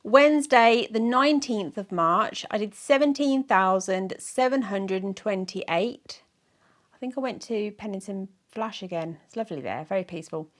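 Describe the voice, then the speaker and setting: A middle-aged woman with a croaky, sore-throated voice, chatting casually to camera in a rambling, giggly, self-mocking way. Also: mid-range voice, lively intonation, moderate pace. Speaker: weight-loss vlogger.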